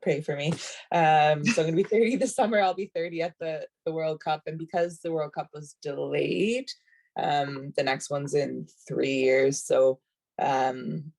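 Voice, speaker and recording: Brief: woman, late twenties, from Canada, gravelly fry, chatty panelist on a video call, loose filler-heavy delivery. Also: sits low, steady tone, measured pace, darkish tone. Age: 20s. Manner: chatty, many fillers and self-corrections. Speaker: national team rugby player, panelist. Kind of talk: casual panel talk.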